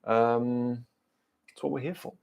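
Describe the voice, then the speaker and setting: A man's voice: medium pitch, steady tone, slow pace, creaky, a little mellow, smooth. A man in his thirties with an English accent tinged with South African, chatting hesitantly with many fillers while answering viewer questions live. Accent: English with some South African. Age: 30s. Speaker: car channel host. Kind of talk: live stream Q&A chat.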